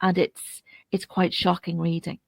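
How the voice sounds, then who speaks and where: A woman interviewer speaking off the cuff, putting long, roundabout questions in a halting way. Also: fairly low pitch, monotone, vocal fry, no room sound. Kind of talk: unscripted interview discussion.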